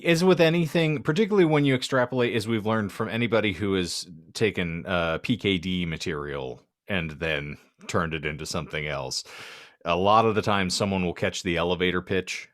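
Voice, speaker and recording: Very deep-voiced man, wry and wordy. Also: fairly quick. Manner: wordy, wry, theatrical phrasing. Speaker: podcast co-host. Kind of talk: casual book-club podcast chat.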